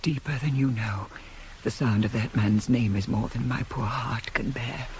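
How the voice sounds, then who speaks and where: An actress playing an anguished, wasting queen in a Greek tragedy for radio, with a tormented, breathy delivery. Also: very deep voice, steady tone, darkish tone. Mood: haunted, secretive. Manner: slow, breathy, tormented delivery, often near whispering. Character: anguished queen, wasting away with forbidden love. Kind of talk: 1980s radio drama acting of Greek tragedy. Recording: hissy cassette of radio broadcast.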